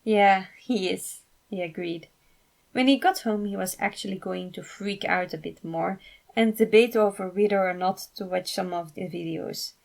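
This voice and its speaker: A woman narrating a fan fiction story in an expressive amateur reading style. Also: on the low side, normal speed, creaky.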